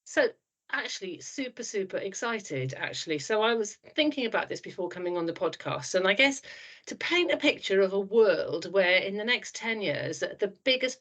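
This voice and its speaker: A woman in her fifties from South Wales with a Welsh accent, chatting frankly as a podcast guest, with frequent restarts. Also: on the low side. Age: 50s. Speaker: trust board chair, guest. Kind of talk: podcast interview.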